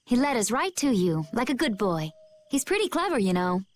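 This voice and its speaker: A calm, gently teasing young woman. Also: on the high side, normal speed. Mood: amused. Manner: calm, softly amused. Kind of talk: video game voice acting.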